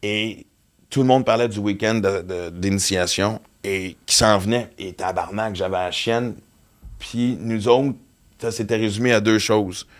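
A man's voice: on the low side, fairly even intonation, unhurried. A man hosting a casual podcast chat, swearing freely and telling rambling stories. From Western Canada. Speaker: podcast host.

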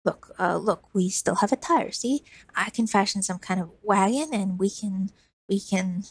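A woman's voice: mid-range voice, normal speed, husky, no room sound. A woman voicing an eager, trusting young man who talks in earnest, pleading bursts. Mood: frantic.

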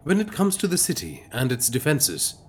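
A man playing a measured, diplomatic adviser in an audio drama, speaking carefully and formally. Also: mid-range voice, huge pitch swings, a little hiss or hum. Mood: concerned, tactful.

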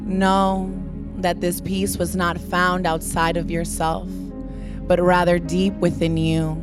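Slow soothing woman meditation guide. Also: lowish voice, level tone. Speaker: meditation leader. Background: new-age music.

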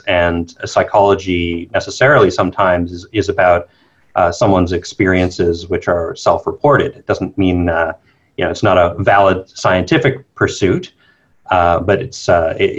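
A man with a Canadian accent answering conversationally as an interview guest, an academic researcher. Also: sits low. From New Brunswick, eastern Canada. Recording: dull, band-limited call audio.

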